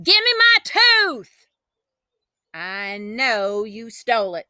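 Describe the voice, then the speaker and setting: Woman playing a feisty, bright-voiced country girl. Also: on the high side, huge pitch swings, slow, dry recording, studio quality, heavily acted. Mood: furious. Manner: folksy, indignant, dramatic. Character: feisty, hot-tempered country girl who vows revenge. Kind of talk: children's storybook character voice.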